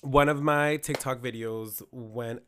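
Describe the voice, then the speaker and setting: A hoarse-voiced man talking in a casual podcast chat, animated, acting out quoted reactions. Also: mid-range voice, takes it slowly, a little hiss or hum. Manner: chatty, animated storytelling with quoted asides.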